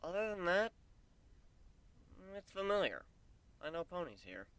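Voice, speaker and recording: A man's voice: high voice, big pitch swings, measured pace, fairly bright tone, smooth, pitched above the performer's own voice, exaggerated voice. A man voicing a male pegasus pony in a story reading, plainspoken, folksy, wry and earnest.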